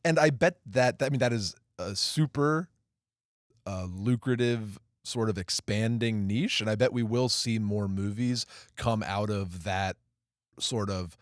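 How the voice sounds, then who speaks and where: A man with a lowish voice co-hosting a movie podcast. He chats casually, mixing short agreeing replies with steady explaining. The sound is dry and close. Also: unhurried, no echo. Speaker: podcast co-host.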